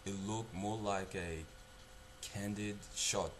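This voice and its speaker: A young man playing a guilt-haunted narrator in a confessional dramatic monologue, halting, subdued and repeating himself. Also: on the low side, steady tone, a little hiss or hum, low-quality recording. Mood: guilty, troubled.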